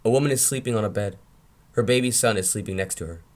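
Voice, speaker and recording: A man's voice: lowish voice, fast talker. A man reading screenplay scene descriptions as narrator in a table read.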